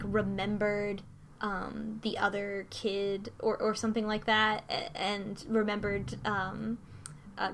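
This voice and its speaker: A woman talking casually in a group discussion, recapping a game in a halting way and often restarting mid-thought. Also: mid-range voice, a bit bright. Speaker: podcast host recapping a visual novel.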